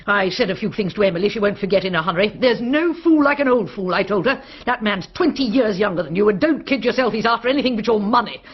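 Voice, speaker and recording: Casting: forthright middle-aged British woman, husky, loyal and outspoken; period radio play, slightly dull recording. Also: mid-range voice, animated, fast talker, few pauses. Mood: indignant. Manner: forthright, emphatic. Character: blunt, loyal, outspoken woman companion of a country house. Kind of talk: period radio drama acting.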